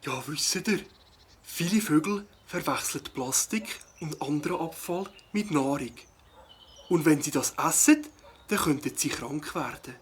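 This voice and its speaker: A man's voice: a little high, expressive, unhurried, husky. A man playing a friendly, earnest ornithologist in a children's audio play, explaining patiently with a Swiss accent.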